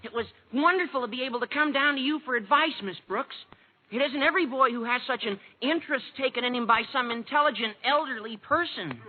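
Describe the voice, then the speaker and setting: Squeaky-voiced earnest teenage boy. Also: brisk. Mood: gushing. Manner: gushing, self-important, naively blunt. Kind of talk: 1940s radio comedy acting. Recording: tinny, hissy old radio recording.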